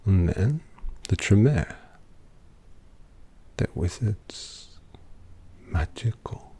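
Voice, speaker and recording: A man voicing a languid, possessive male vampire artist in an ASMR roleplay, talking softly and teasingly to the listener. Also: fairly low pitch, animated, full character voice. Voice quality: soft whispery, gravelly. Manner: slow, teasing, intimate address to listener.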